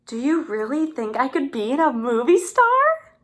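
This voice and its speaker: A woman's voice: high voice, sing-song, normal speed, pitched above the performer's own voice. Woman voicing a vain, irritable male storybook fox. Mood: gullible, curious.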